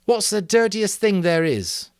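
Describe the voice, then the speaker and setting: A man voicing a shy, awkward boy in a read-aloud story, speaking slowly and haltingly. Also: high-pitched, sing-song, no echo, studio quality, pitched above the performer's own voice. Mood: hesitant. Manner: slow, halting, unsure.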